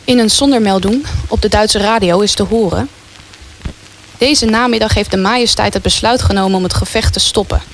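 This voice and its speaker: A woman's voice: mid-range voice, brisk. A woman reading scripted dramatic narration for a radio play. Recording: faint rain-like noise behind.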